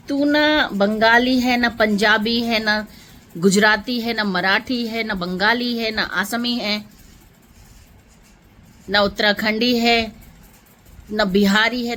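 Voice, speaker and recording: A woman's voice: medium pitch, fairly bright tone. A woman vlogger delivering an emotional political monologue to viewers, impassioned and rhetorical, repeating phrases for emphasis.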